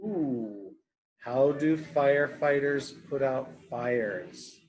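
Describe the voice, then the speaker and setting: A man's voice: medium pitch, extremely slow, a little mellow, rough recording. A man reading a picture book aloud to young children, explaining in simple, repeated words and asking questions as a teacher does.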